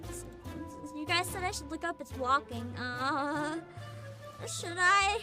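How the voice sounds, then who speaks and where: A woman hosting a casual livestream chat, giggly, excitable and expressive, with music behind her. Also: high voice, takes it slowly. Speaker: livestream host, VTuber.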